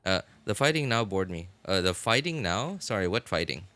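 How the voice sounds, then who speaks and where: A man hosting a game livestream, chatting casually in a halting, rambling way and often repeating and rephrasing himself. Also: low voice, very animated, pushed and bright. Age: adult, college graduate. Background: music.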